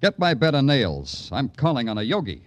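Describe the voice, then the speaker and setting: An actor playing a wry, wisecracking New York police detective in a mid-century radio noir. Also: male voice, mid-range voice, huge pitch swings, normal speed. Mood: brisk. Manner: wry, sardonic, clipped. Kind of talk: 1950s radio noir narration and dialogue.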